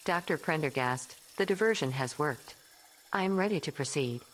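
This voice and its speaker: A woman playing a calm, impassive female AI assistant in a radio play, speaking in a formal, deadpan manner. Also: deep voice, moderate pace, low-quality recording.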